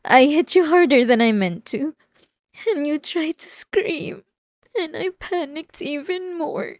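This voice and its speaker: Woman acting a high-pitched, tormented obsessive wife. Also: slow pace, no room sound, clean studio sound. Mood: panicked confession. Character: obsessive, possessive wife, remorseful, delusional and tormented. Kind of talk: intimate ASMR audio roleplay monologue. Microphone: close microphone, dry.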